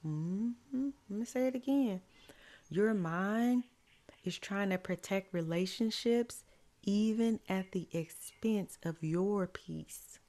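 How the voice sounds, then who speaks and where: A woman life coach with a slightly hoarse, raspy voice, giving slow, encouraging faith-based advice. She repeats her key lines and adds affirming "mm-hmm" asides. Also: mid-range voice, warm tone. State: voice a bit hoarse.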